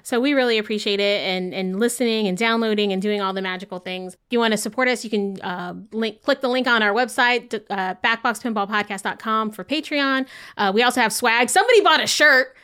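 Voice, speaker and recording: A woman's voice: a little high, brisk, bright, forceful tone, clear, resonant tone. A woman hosting a podcast, chatting in an upbeat way with many fillers. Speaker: podcast host. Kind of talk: casual chat.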